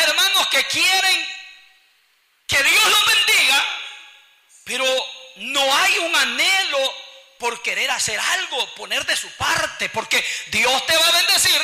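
A man's voice: very high voice, bright, forceful tone. A man with a Salvadoran accent preaching an evangelical sermon in a colloquial, exhorting way, often putting questions to his listeners. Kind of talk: impassioned church sermon with scripture teaching. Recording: long hall reverb.

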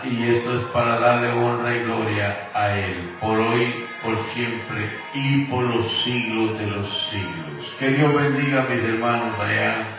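A man from Guatemala preaches a slow, drawn-out sermon. His voice sits in the middle range and comes from away from the mic. A heavily echoing space carries it, with clear instrumental music underneath. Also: medium pitch. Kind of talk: sermon with greetings to listeners. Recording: echoey, distant, with music behind.

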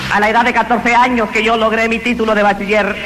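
A man's voice: high voice, little pitch movement, quick pace, background noise. A young man, giving an earnest, emphatic religious testimony. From Honduras. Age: 20s.

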